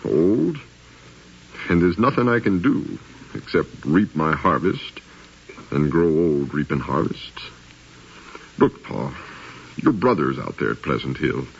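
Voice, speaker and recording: An actor playing a restless, eager planter's son in a 1950s radio drama, earnest and pleading. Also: male voice, rumbling low, expressive, takes it slowly, vocal fry, pauses often, husky, full character voice. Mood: urgent persuading. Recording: dull 1950s radio recording.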